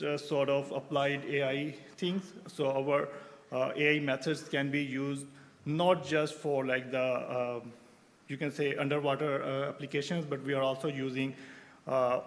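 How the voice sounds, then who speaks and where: A man's voice: medium pitch, slight background noise. A man in his thirties from Pakistan, a researcher giving a conference talk, with frequent fillers and restarts. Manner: hesitant, many fillers and restarts. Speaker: researcher presenting at a symposium.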